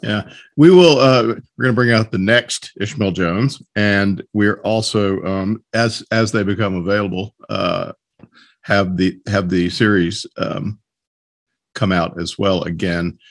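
A man hosts a relaxed, conversational interview. His voice is on the low side and he speaks haltingly, feeling for his words. The sound is dry, with no room echo. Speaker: podcast host, publisher. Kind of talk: casual interview chat.

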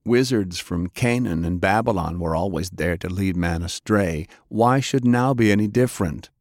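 A man's voice: fairly low pitch, a little mellow, no room sound, studio quality, natural voice. A man voicing a righteous, alarmist old-time preacher in an audiobook. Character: righteous alarmist male 1910s preacher railing at sinners.

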